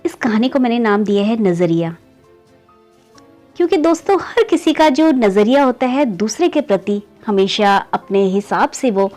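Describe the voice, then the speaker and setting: A woman narrating a moral story in a conversational way, often addressing listeners as friends and lifting her voice at each new turn of the tale. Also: darkish tone. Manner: keeps calling listeners "friends", pitch rising on story beats.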